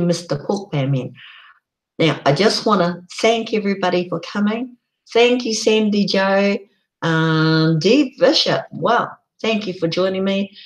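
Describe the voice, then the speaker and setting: A middle-aged woman with a croaky voice, hosting a casual solo live stream, chatty and unhurried with frequent ums. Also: fairly low pitch, low-quality recording.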